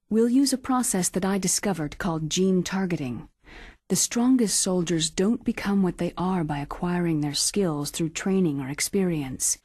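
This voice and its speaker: An actress playing a confident military genetics scientist in a video game, explaining things in a clinical, assured manner. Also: female voice, lowish voice, darkish tone, no echo, studio quality, lightly acted. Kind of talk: dramatic video game cutscene acting.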